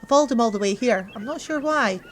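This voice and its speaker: A woman's voice: on the high side, lively intonation, fast, slight background noise, close to an everyday voice. A woman playing a matter-of-fact mermaid castaway in an amateur comedy audio drama.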